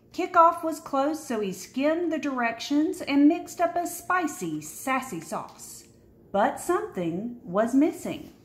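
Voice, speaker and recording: Woman, children's storybook narration, very slow pace, lively intonation, expressive emphasis, echoey room. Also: fairly high pitch. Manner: expressive, dramatic pauses and emphasis. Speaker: storybook reader. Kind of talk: read-aloud children's picture book narration.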